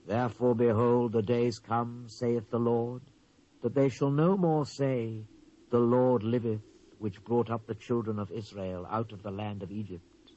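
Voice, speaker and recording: A man's voice: mid-range voice, fairly even intonation, measured pace, mellow, close to an everyday voice. Man acting a stern British prophet, muffled recording. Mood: solemn proclamation. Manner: solemn, declamatory, swelling and dropping for emphasis. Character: stern, wrathful male Hebrew prophet speaking for God. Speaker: Bible narrator. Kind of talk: dramatised King James scripture reading. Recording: dull lo-fi cassette transfer.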